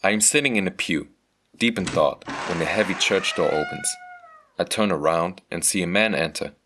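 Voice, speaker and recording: A man reading a scripted first-person story as a motion comic narrator, measured and earnest. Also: deep voice, wildly swooping pitch, noisy background.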